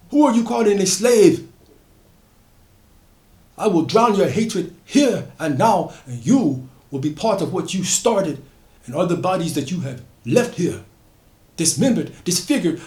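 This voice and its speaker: A man's voice: sits high, sing-song, measured pace, a little mellow, reverberant, voice pushed up. A man voicing a defiant enslaved fighter. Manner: fierce, declamatory.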